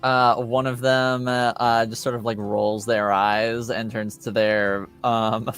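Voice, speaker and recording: Male game master, unhurried and clear-voiced, halting improvised narration over music. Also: mid-range voice, flat delivery, a bit bright. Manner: hesitant, searching for words. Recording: music behind the voice. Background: background music.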